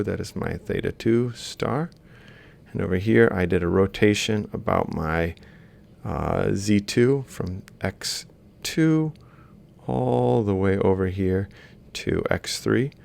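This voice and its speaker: Male lecturer, low register with heavy vocal fry, informal step-by-step walkthrough. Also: a little slow, a little mellow. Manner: explains step by step while writing. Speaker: lecturer in robotics. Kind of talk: informal worked-example lecture.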